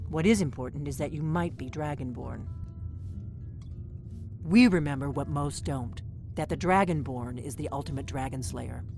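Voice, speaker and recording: A woman's voice: extremely low pitch, a little mellow. An actress voicing a guarded, secretive female innkeeper who works as a spy, speaking in a cautious, urgent, conspiratorial way over faint game music.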